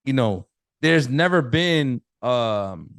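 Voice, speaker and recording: A man hosting a podcast, telling a story in a measured way, with pauses and fillers. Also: medium pitch, animated, a bit bright, dry recording, studio quality. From Puerto Rican and Italian descent. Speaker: podcast host. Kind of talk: casual discussion, telling a story.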